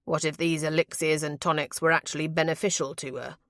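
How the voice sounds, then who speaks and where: A stern, protective lord from a historical audiobook, played by a woman. The voice is lowish and deliberate, formal and commanding, with a dry sound. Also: fairly even intonation, fairly bright tone, no echo. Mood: concerned. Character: commanding, troubled male aristocrat, protective and intense. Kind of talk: audiobook character voice.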